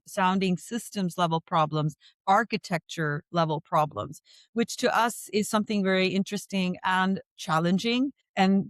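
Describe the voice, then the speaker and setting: A middle-aged woman from India, a venture fund co-founder speaking as a podcast guest in measured, articulate, long flowing sentences. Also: medium pitch, no room sound. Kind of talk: interview conversation.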